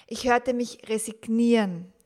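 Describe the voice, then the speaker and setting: A woman in her forties hosting her own podcast, telling a personal story about how she learned to talk with children, calm and reflective, asking the listener questions. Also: on the high side, unhurried. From grew up in the 1980s and 1990s. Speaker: podcast host, teacher and mother.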